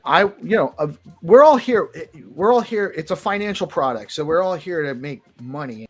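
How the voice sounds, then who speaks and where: Upbeat male show host, faint music. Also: fairly high pitch. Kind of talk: casual morning show chat.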